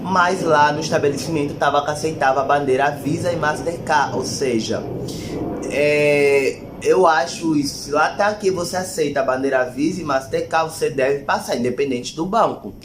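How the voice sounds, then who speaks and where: A man's voice: fairly high pitch, moderate pace, noisy background. Echoey man with a Brazilian accent. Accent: Brazilian Portuguese. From Angola and Brazil. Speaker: vlogger. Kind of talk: casual personal storytelling to camera. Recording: echoey untreated room.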